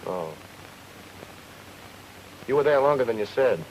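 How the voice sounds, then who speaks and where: A man's voice: medium pitch, lively intonation, a little slow, darkish tone, natural voice. Guy acting a hard boiled detective in an old radio show, cool and bossy, short clipped lines, lots of noise. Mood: curt, probing. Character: tough, cool-headed male detective under suspicion, married. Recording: noisy old radio recording.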